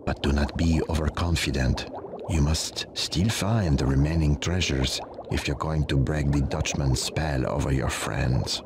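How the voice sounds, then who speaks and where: Very deep, wise cartoon guide. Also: male voice, a little slow, noisy background. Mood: cautioning. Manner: formal, encouraging. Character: solemn, wise, reassuring male guide figure. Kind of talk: cartoon video game voice acting.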